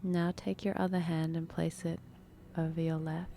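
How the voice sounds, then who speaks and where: A woman leading a guided meditation in a soft, breathy, unhurried, soothing manner with long pauses. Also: deep voice, little pitch movement, slight background noise.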